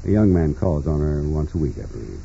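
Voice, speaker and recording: Dignified judge, very mellow and dark, lively intonation, courteous, 1940s radio drama. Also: male voice, extremely low pitch, gravelly, heavily acted. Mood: measured, hesitant. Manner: measured, hesitant, courteous. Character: dignified, formal older male judge. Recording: muffled, noisy 1940s radio recording.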